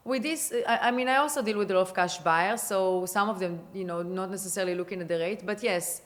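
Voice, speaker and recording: A woman with an Israeli accent talks real estate in a relaxed podcast conversation. She is chatty and quick, often restarting her sentences and leaning on fillers. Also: medium pitch. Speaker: real estate agent guest.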